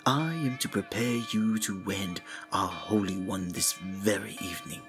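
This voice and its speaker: A man playing a sinister, seductive cult preacher in an intimate ASMR roleplay, husky and breathy, often sinking into whispers, with archaic, formal endearments. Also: low-pitched, measured pace, over music. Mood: tempting, gloating.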